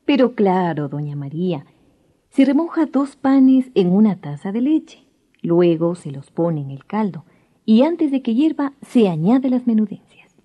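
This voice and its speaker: An actress playing a knowing neighbour in a radio drama, explaining recipes in a husky, dark, sing-song voice. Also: female voice, mid-range voice, big pitch swings, unhurried, muffled recording. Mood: helpful. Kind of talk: 1990s radio drama acting.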